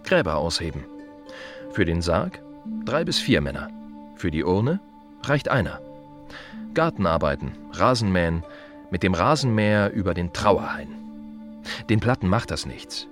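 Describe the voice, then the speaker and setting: An actor playing a plain-spoken, wry gravedigger in a radio play monologue, confiding in short halting phrases with dry irony. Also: male voice, low voice, very animated, soft background music. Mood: matter-of-fact, wry.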